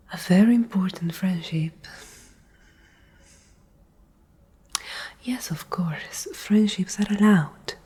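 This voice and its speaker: A woman playing a menacing, domineering leader of a survivor group in a whispered ASMR roleplay, speaking in a breathy, husky voice with slow, condescending control. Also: lowish voice, dark tone, exaggerated voice. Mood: accusing. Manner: slow, condescending, coldly threatening.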